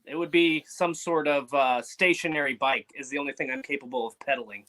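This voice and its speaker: A man's voice: medium pitch, steady tone, a little fast, sharp tone. A man who is a sports writer, chatting as a guest on a sports radio show in an easygoing, agreeable way. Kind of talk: casual sports radio chat.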